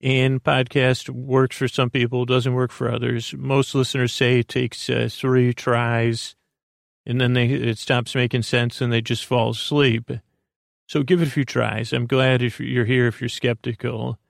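A man with a creaky voice giving a lulling, meandering, halting bedtime podcast monologue as host, in a self-deprecating way. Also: medium pitch, level tone, no echo, studio quality. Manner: lulling, soothing.

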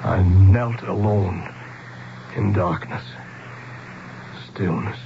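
An actor playing an earnest, bewildered traveler, with dramatic 1970s radio drama delivery. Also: male voice, deep voice, fairly even intonation, extremely slow, creaky, noisy background, exaggerated voice. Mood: spellbound, hushed. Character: bewildered, earnest traveler telling his strange tale. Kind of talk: dramatic first-person narration, 1970s radio acting. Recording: dull radio broadcast with loud music.

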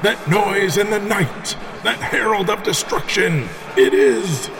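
A man's voice: sits high, gravelly, background noise, full character voice. A man playing a flamboyant, bombastic ring announcer in an audio drama, drawing out his words like a showman. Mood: bombastic showmanship. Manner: bombastic, drawn-out showman delivery. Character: flamboyant male arena ring announcer at a deathmatch.

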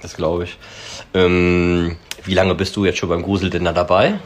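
A man's voice: deep voice, little pitch movement, moderate pace, a little hiss or hum. A man hosting a podcast, asking questions in a casual, chatty interview style.

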